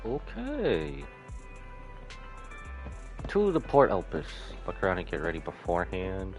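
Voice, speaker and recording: Male streamer, very low pitch, animated inflection, casual game commentary, reads aloud, game music behind. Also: a little slow. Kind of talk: live game commentary, reading dialogue and menus aloud.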